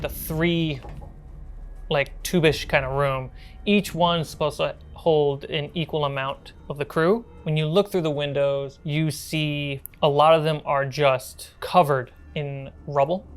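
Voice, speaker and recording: Low-voiced woman game master over music. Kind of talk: improvised tabletop game narration.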